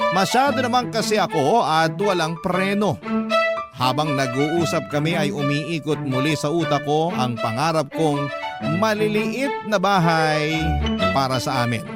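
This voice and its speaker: A man's voice: on the high side, lightly acted. A man playing a hopeful, lovestruck young letter sender, narrating his own love story in an earnest, sentimental radio drama style. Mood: relieved, then dreamy. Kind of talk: scripted dramatic first-person narration.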